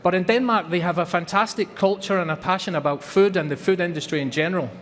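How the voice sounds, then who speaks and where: A man's voice: sits high, fast, a bit bright, long reverb tail, slight background noise. A Scottish man giving an earnest presentation at a trade fair, repeating words for emphasis. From Scotland. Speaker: company presenter. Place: large exhibition hall.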